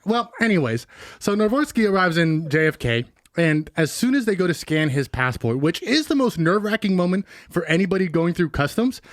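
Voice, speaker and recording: A man's voice: high voice, sing-song, fairly quick, no room sound, studio quality. A man co-hosting a podcast, reviewing a movie in rambling, joking casual chat. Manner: rambling, jokey, filler-heavy. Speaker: podcast co-host.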